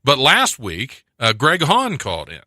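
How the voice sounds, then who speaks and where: Bright-voiced radio host in his forties. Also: mid-range voice, wildly swooping pitch, a little slow, no echo, studio quality. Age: 40s. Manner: laughs often, rambling storyteller. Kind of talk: casual banter on college radio. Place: radio studio.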